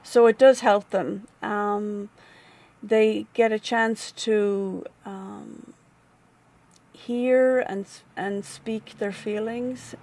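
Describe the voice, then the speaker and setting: A middle-aged woman with an Irish accent, answering interview questions about a grief center in a thoughtful, measured way, often pausing with um. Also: medium pitch, vocal fry, a little mellow. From Ireland. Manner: thoughtful, measured, with frequent um and restarts. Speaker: community manager at a grief center.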